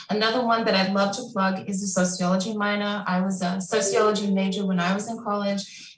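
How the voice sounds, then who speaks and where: A woman's voice: medium pitch, slight background noise. A woman advisor answering a student question on a panel in an upbeat, friendly, explanatory way. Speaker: academic advisor. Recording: echoey room, distant mic.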